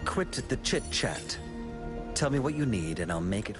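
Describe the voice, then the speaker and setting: A man's voice: fairly low pitch, very animated, moderate pace. A man voicing a curt, businesslike male craftsman in a video game. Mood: curt, impatient. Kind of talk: video game character voice acting. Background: game music.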